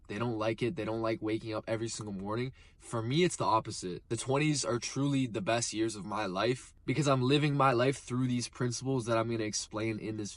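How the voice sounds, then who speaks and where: A man's voice: mid-range voice, no room sound. Earnest twenty-year-old man, dry close sound. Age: 20s. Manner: earnest, emphatic, repeats phrases. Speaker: motivational vlogger. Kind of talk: motivational talk to camera.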